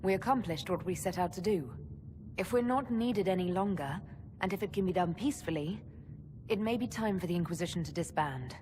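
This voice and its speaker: Actress as composed, lowish-voiced female leader. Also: a little fast, lightly acted. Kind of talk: video game dialogue. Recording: clear background ambient noise.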